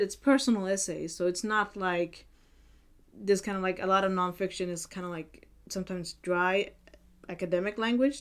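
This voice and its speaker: Young swedish woman chatting about books, kinda low smooth voice, lots of ums and restarts. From Sweden. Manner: earnest, many fillers and restarts. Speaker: book vlogger. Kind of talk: casual book review vlog.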